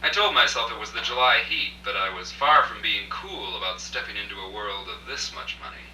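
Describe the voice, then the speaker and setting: An echoey, noisy old recording carries a man acting as a low-voiced, down-on-his-luck journalist. He narrates a hard-boiled radio play with dry, wry understatement, set back from the microphone. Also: brisk, sharp tone, recorded from a distance. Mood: wry, intrigued. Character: broke, wry freelance journalist narrating his strange tale. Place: echoey room at a dress rehearsal.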